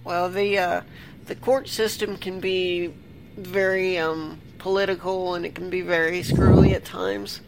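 A man's voice: high voice, little pitch movement, unhurried, creaky, background noise. A man hosting his own interview show, talking loosely and rambling with fillers. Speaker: podcast host. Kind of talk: casual interview. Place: home desktop recording setup.

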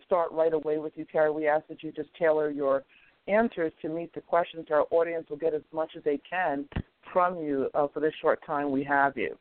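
A male host with a hoarse voice, apologizing and rambling as he runs a casual call-in talk show. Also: a little high, no echo. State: hoarse, lost his voice. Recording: tinny phone line.

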